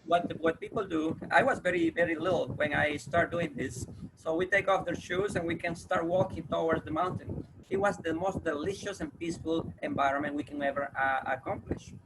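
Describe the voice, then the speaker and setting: A man's voice: a little high, fairly even intonation, a little fast, hardly any pauses. Host, man from Ecuador, eager, noisy call. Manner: enthusiastic, affirming interjections. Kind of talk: casual interview chat. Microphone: video call.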